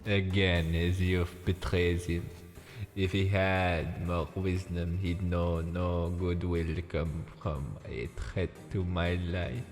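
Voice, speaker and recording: A brooding vampire master acted by a man speaks in a low, unhurried voice. The delivery is solemn and formal, like visual novel drama, and rings out in a long echoing reverb over music. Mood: earnest, brooding. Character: brooding, soft-spoken ancient male vampire master. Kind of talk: visual novel read-aloud with dramatic voice acting.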